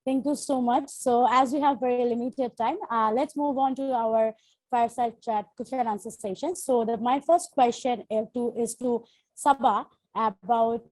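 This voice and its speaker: Woman, from Bangladesh, moderator, polite, higher pitch, dry recording. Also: fairly even intonation, moderate pace, darkish tone, no room sound, poor audio. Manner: polite, formal introductions. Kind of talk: moderating a panel.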